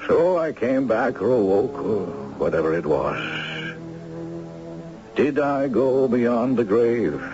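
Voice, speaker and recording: An actor playing an ailing, elderly retired judge, gentle, wistful and courtly, in a 1970s radio drama. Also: male voice, mid-range voice, big pitch swings, slow pace. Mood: solemn, resolute. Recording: muffled 1970s radio recording, music behind.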